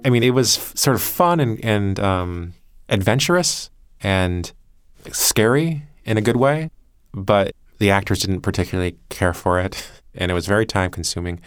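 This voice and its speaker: A man's voice: deep voice, clean tone, no echo, studio quality. A man who produces a podcast, explaining his work reflectively in an interview with hesitant, thoughtful phrasing.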